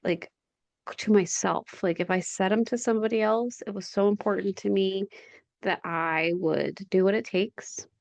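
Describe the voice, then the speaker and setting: A woman's voice: mid-range voice, fairly even intonation, normal speed, vocal fry. A woman in her forties hosting her own podcast, talking from home in a candid, reflective, conversational way. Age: 40s. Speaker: podcast host. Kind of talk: solo podcast monologue.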